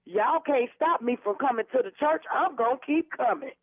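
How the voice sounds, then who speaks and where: A woman's voice: high-pitched, lively intonation, normal speed, no room sound. A woman speaking firmly and emphatically in an indignant phone conversation, heard over a narrow telephone line. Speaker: prank call target, church member.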